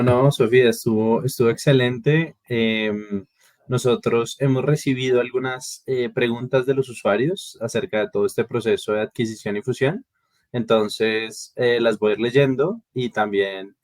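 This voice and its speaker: A man from Colombia who founded a company, politely hosting an online interview and pausing often on fillers. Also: fairly low pitch, dry recording. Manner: polite, with hesitant fillers and restarts.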